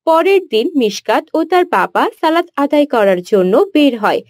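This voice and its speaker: High-voiced woman narrating a cartoon story. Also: big pitch swings, unhurried, a little mellow, no room sound, clean studio sound.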